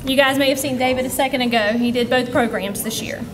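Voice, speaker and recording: Woman, high voice, formal name reading, distant mic, long hall reverb, noisy. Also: steady tone. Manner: reads names one by one with pauses. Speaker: ceremony announcer reading graduate names. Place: college auditorium. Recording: distant auditorium sound with long reverb and noise.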